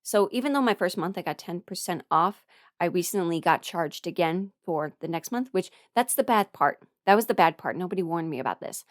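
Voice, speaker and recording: A young adult woman talking frankly and haltingly in a candid personal ramble, often quoting her own thoughts as she goes. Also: fairly low pitch, expressive, no room sound, clean studio sound. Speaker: voice performer sharing a personal ramble.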